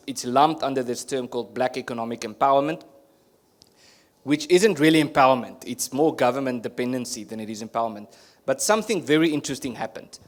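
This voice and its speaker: Earnest Afrikaans-accented man explaining. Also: medium pitch. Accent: South African Afrikaans. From South Africa. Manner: earnest, explanatory, frequent restarts and fillers. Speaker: guest speaker. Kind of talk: panel discussion answer. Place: conference hall.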